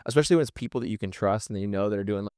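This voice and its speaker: A man's voice: lowish voice, rapid-fire, darkish tone, clear, resonant tone, dry recording, studio quality. A man chatting casually as a podcast guest, earnest and encouraging in his manner.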